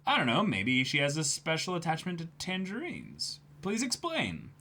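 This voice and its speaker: A man doing a dramatic read-aloud mixed with casual reactions, theatrical and excitable as co-host of a stream. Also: a little high, normal speed, slight background noise.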